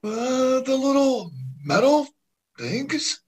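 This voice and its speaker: A woman's voice: high voice, very animated, slow, darkish tone, husky, exaggerated voice. A woman playing an earnest, easily baffled detective's sidekick, hesitant and astonished, in an old-time radio mystery parody. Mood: flustered.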